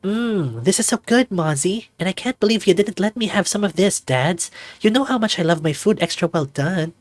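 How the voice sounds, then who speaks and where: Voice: mid-range voice, animated, moderate pace, no echo, faint music behind, voice pushed up, natural voice. A performer voicing a cheerful young hellhound woman from a visual novel, eager and playfully indignant. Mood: delighted. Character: cheerful young adult hellhound daughter with big appetite.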